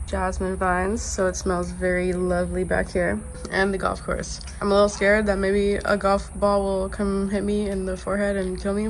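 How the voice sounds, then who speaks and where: A woman's voice: mid-range voice, slight background noise, poor audio. A young woman vlogging while sick and stuffy, chatting casually and rambling with lots of "like", outdoors on a patio. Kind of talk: casual vlog chat. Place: outdoor patio by a golf course. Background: birds and outdoor ambience.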